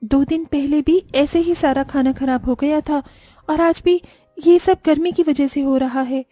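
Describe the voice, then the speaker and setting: A woman's voice: high voice, steady tone, measured pace, warm tone, soft background music. A woman voicing a caring, resourceful wife in a cartoon, speaking in an earnest, concerned way. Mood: reassuring. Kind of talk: scripted cartoon dialogue.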